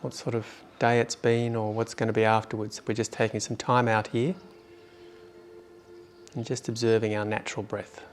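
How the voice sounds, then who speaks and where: A man leading a guided meditation in an informal, unhurried way with long pauses. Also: fairly low pitch, level tone, slight background noise.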